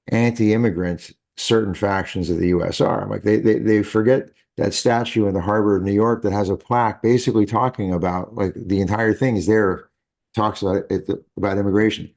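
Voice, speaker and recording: A man's voice: fairly low pitch, vocal fry, darkish tone. A man hosting a podcast, speaking emphatically and thinking aloud as he builds his point. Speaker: podcast host. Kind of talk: podcast conversation.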